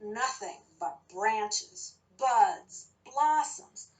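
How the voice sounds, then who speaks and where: A woman reading a picture book and teaching young children, speaking deliberately and putting questions to her listeners. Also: fairly high pitch, lively intonation, a little mellow, rough recording. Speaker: children's story reader and teacher.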